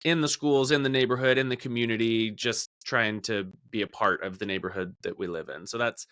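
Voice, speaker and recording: A man's voice: lowish voice, steady tone, brisk, a bit bright, dry recording, studio quality. A man in his thirties chatting casually as a podcast host.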